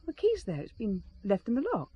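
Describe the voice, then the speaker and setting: An actress playing an uneasy but witty newlywed wife in an audio mystery drama, speaking in genteel, dramatic phrases. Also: female voice, mid-range voice, wildly swooping pitch, fairly quick, dark tone, highs cut off. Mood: uneasy, wry.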